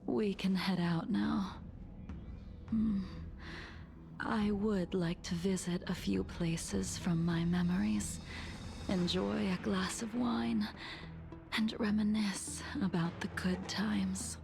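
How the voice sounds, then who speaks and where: An actress voicing a calm, weary and wistful older woman in a game, speaking slowly and teasing gently. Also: mid-range voice, creaky, faint music behind. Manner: slow, measured, gently teasing. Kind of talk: game voice acting. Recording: game score playing underneath.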